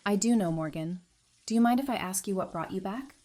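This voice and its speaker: A woman playing an empathetic widowed survivor in an audio drama, talking in a gentle, sympathetic manner. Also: medium pitch, fairly quick, lightly acted. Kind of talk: fan audio drama acting.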